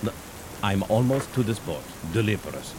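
An actor playing a weary Swiss harbor pilot near retirement, with a Swiss German accent and a hesitant way of searching for English words. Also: male voice, lowish voice, animated, moderate pace, noisy background, natural voice. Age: older. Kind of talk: radio drama acting. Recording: rain and thunder behind.

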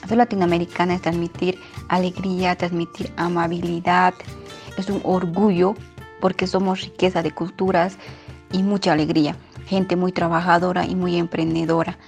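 A woman's voice: lowish voice, flat delivery, normal speed, gravelly, a little mellow, faint music behind. A woman with a Bolivian accent giving a personal statement.

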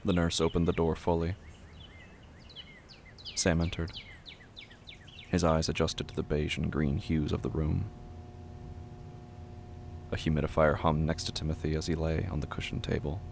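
Very deep, gravelly male horror narrator. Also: little pitch movement, normal speed, long pauses, a little mellow, slight background noise. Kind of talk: scripted literary horror narration.

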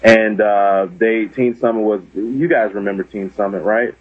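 Husky man on a tinny, phone-like line. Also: on the low side, steady tone, normal speed, dry recording. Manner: storytelling, self-deprecating, earnest. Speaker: comedian guest. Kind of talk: casual storytelling on a podcast.

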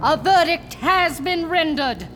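Woman playing a slow, high-voiced, commanding cult priestess. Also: expressive, a bit bright, soft background music, heavily acted. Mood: stern, ceremonial. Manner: solemn, incantatory, declamatory. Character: cold, commanding female cult leader presiding over sacrifice. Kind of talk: ceremonial radio drama acting.

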